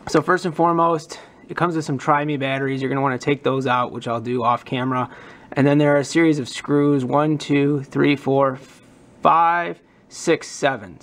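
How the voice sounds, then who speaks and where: A man's voice: mid-range voice, a bit bright. A man giving a casual hands-on tutorial as a hobbyist, unscripted and thinking aloud as he works. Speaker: hobbyist demonstrating a prop modification.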